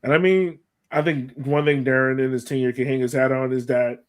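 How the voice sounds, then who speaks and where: An American man talking as a podcast host in casual soccer chat, like an animated fan full of exclamations. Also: medium pitch, fairly quick.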